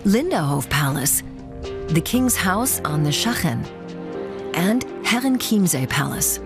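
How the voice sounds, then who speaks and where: A woman's voice: lowish voice, sing-song, slow. A woman reading scripted narration for a travel report as a documentary narrator. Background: background music.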